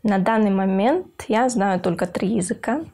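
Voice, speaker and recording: A young woman, a university student from Kyrgyzstan, speaking earnestly in an interview, pausing with hesitant filler sounds and restarting her phrases. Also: medium pitch, warm tone, a little hiss or hum. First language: Russian. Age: young adult. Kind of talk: interview for a university news feature.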